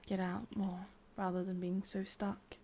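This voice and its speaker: A woman with an English accent playing a possessive, lovesick witch in a whispered ASMR roleplay, coaxing softly and intimately, close to the microphone. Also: mid-range voice, level tone, measured pace, a little hiss or hum, natural voice. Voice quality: breathy, whispery.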